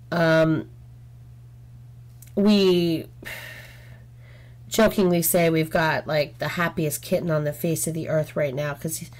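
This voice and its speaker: A woman chatting casually while she works on a craft, frank and rambling with lots of "um"s, her throat getting sore. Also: fairly low pitch. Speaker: craft channel host. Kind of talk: casual chat while diamond painting. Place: home craft table, indoors.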